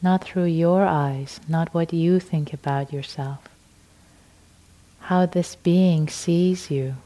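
A middle-aged woman whose first language is Farsi guides a meditation in a low, warm, unhurried voice. She lets soft breathy phrases trail off into near-whispers, with long, gentle pauses between them. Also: fairly even intonation. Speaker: meditation teacher.

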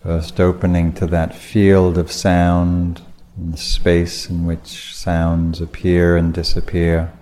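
Low, slow, mellow man guiding meditation. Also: monotone. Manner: measured, unhurried phrases with long pauses. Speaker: meditation teacher. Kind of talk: guided meditation.

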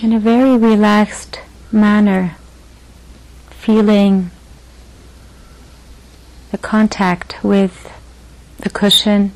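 A woman teacher leading a guided meditation gently, in short phrases with long pauses between them. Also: medium pitch, steady tone, rough recording.

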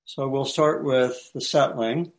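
Male meditation teacher, mid-pitched and unhurried, speaking in short, spaced phrases of gentle instruction. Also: fairly even intonation. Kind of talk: lightly guided meditation instruction.

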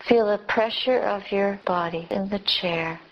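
Slow-speaking woman guiding meditation. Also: mid-range voice. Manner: gentle instruction in short, spaced phrases. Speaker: meditation teacher. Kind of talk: guided meditation. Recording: dull, lo-fi recording.

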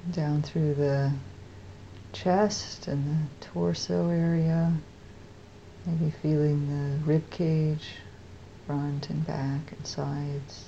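An American woman leading a guided meditation as a teacher, speaking softly and unhurriedly with long pauses. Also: low-pitched, flat delivery, gravelly, dull audio, slight background noise.

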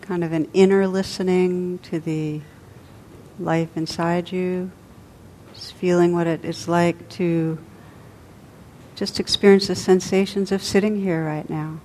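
A woman with a fairly low voice leads a guided meditation. She speaks softly and without hurry, leaving long pauses between her phrases. A faint hiss sits under the recording. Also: flat delivery. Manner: soft, unhurried, with long pauses. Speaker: meditation teacher.

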